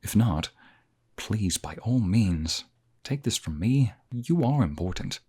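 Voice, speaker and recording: A man plays a calm male therapist, polite and honest, talking straight to the listener. His voice sits very low, and he keeps it soft and measured, reassuring as an ASMR roleplay. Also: slightly rough, exaggerated voice. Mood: encouraging.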